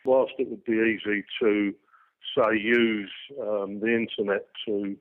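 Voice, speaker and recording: A man giving a spontaneous interview explanation, hesitant and halting with many filled pauses, over a tinny phone-like line. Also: on the low side, flat delivery. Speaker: radio enthusiast interviewee.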